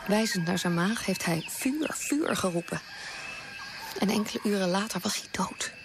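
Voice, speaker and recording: A colonial official's wife, acted by a woman, loyal, worried and firm, in a radio play. Her voice sits at a middle female pitch. Birds, chickens and clear ambient noise surround it. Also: normal speed, lightly acted. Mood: grave, fearful. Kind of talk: Dutch radio play acting.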